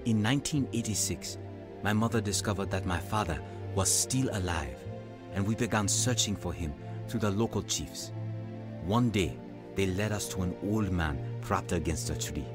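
Guy acting an earnest middle-aged preacher telling his story in a radio play, lowish voice, music behind. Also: moderate pace, lightly acted. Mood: reflective. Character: earnest middle-aged evangelist, gentle devoted son. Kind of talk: radio drama narration and dialogue.

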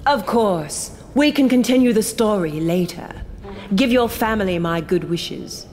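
A woman's voice: mid-range voice, lively intonation, unhurried, a little mellow, long reverb tail, a little hiss or hum, natural voice. A woman playing a composed noble lady mentor and storyteller in a fantasy audio drama. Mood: calm, kindly.

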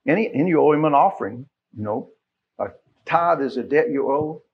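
Husky American pastor, middle-aged man. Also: on the high side, animated, normal speed. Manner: folksy, conversational, asks listeners questions. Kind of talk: informal church announcements from the pulpit. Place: small church sanctuary, at pulpit. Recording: dull, muffled church sound recording.

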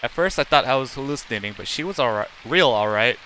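A man's voice: mid-range voice, lively intonation, quick pace, sharp tone, a little hiss or hum, low-quality recording. A middle-aged man live streaming, reading game text aloud in a plain, unacted way with casual asides and occasional stumbles.

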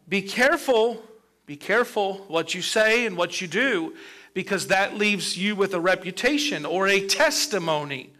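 A middle-aged man preaching a sermon from a church pulpit, building up points with pauses and moving around as he speaks. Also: high voice, sharp tone. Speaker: pastor. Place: church sanctuary with a colorful children's stage set.

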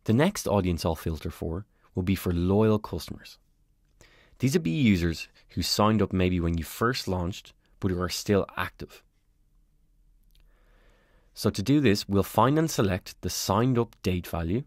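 A man calmly narrating a step-by-step software tutorial as a voice-over on a screen recording. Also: low voice, a little slow, no echo, clean studio sound. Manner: calm, step-by-step explanatory.

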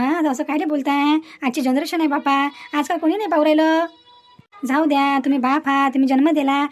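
A woman's voice: high voice, a little mellow, natural voice. A woman voicing an old, sensible grandmother in a cartoon family melodrama, folksy and persuasive, with a Vidarbha Marathi accent.

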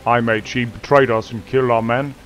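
A man's voice: mid-range voice, steady tone, fairly quick, noisy background. A man roleplaying a streetwise hustler on a game server, wheedling and tacking "mate" onto his lines in an accent that sounds put on. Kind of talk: in-character game roleplay over voice chat.

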